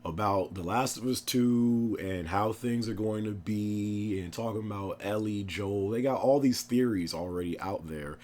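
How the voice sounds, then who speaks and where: A man with a cold, his voice hoarse, chatting excitedly about a video game on his channel, slangy and laughing often. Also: lowish voice, measured pace, few pauses, slight background noise. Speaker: gaming YouTuber. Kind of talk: casual gaming chat.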